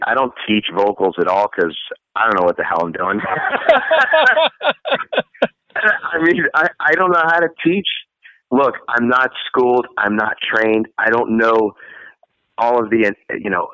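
A man with a bright, forceful voice talks loosely about his life as a singer, often breaking into a laugh mid-sentence. His voice sits a little low. The audio is slightly muffled and very dry, like a remote call. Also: very animated, unhurried, no echo. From Florida. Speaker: guest singer. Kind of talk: casual interview answers.

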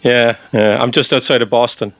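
A man's voice: mid-range voice, steady tone, normal speed, no room sound, soft background music. A man from Ireland with an Irish accent, hosting a friendly interview and chatting easily. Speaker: podcast interviewer. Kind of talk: remote interview chat. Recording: dull lo-fi dry sound.

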